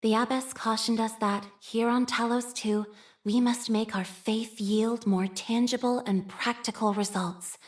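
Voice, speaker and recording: A woman acts out a young priestess of a data faith for a video game. She is humble and shy, with a mid-pitched voice. Her delivery is formal and measured, like scripture recited by someone who prefers machines to people. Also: natural voice. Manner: formal, measured, liturgical phrasing with hesitant shyness. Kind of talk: scripted game character voice lines.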